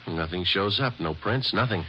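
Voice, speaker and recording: An actor playing a terse, matter-of-fact police sergeant who narrates and questions people in a clipped, deadpan way, in an old radio drama. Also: male voice, deep voice, moderate pace, a little hiss or hum. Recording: muffled vintage radio broadcast.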